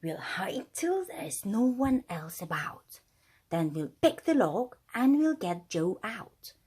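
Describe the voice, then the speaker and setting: Woman acting out a husky, scheming storybook thief. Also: mid-range voice, big pitch swings, slow pace, warm tone, heavily acted. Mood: hushed plotting. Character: two gleeful scheming male thieves, sly and gloating. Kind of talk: children's storybook character voice.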